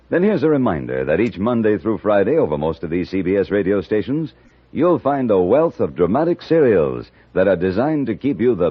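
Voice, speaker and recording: A man's voice: on the low side, husky. A man with an American accent announcing scripted promotions in polished, folksy 1950s radio style. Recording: muffled old radio broadcast recording.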